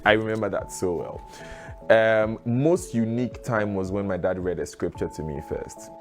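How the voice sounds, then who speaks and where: A man's voice: fairly low pitch, moderate pace, faint music behind. A man telling personal stories in an interview, reflective and often breaking into laughter. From Ghana.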